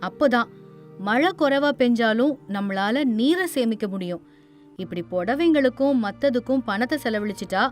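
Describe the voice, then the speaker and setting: A woman's voice: fairly high pitch, close to an everyday voice. Woman playing Sujatha, a practical village wife. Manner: earnest, persuasive, plain-spoken. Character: sensible thrifty village wife, firm and practical. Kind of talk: storybook voice acting.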